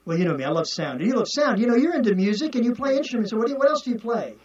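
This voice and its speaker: A man's voice: very high voice, lively intonation, rapid-fire. A man hosting a radio interview, joking, interrupting and stumbling through restarts. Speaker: radio host. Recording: distant lo-fi radio recording.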